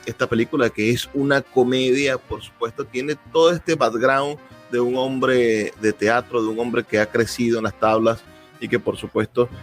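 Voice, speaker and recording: A man with a Venezuelan accent hosting a radio interview and putting questions to his guest. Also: mid-range voice.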